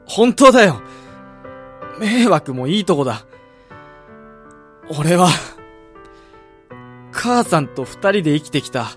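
A proud young athlete in a radio play, his voice pitched slightly high. He speaks frankly and with feeling as a wounded son, with faint music underneath. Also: sing-song, slow, long pauses, heavily acted. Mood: bitter, reproachful. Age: young adult. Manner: frank, emotional, direct. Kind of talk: radio drama acting.

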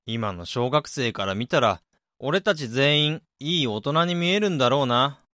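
A man's voice: mid-range voice, expressive, moderate pace, pushed and bright, no echo, studio quality. Wistful, blunt man in a radio drama, acted by a man. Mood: wry, nostalgic. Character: wistful man unsure whether he became an ideal adult. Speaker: radio drama lead and narrator. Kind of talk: amateur radio drama dialogue and reflective narration.

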